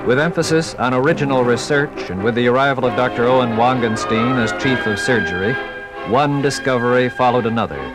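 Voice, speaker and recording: A man's voice: medium pitch, a little fast, over loud music, low-quality recording. An American man giving grave, emphatic, dramatic scripted narration in the style of a 1950s radio documentary.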